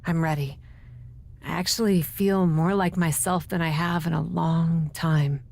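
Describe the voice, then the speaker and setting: Weakened, resolute female wrestler for a game cutscene, lowish and a little husky. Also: steady tone, a little slow, a little mellow, heavily acted. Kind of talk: video game cutscene acting.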